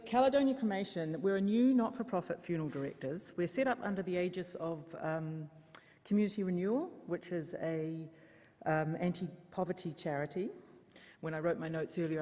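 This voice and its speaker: New Zealand woman, lower voice, echoing hall. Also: darkish tone. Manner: clear and explanatory, lightly self-mocking. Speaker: funeral service worker. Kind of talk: open mic conference talk. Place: church hall conference. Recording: long reverb of a large hall.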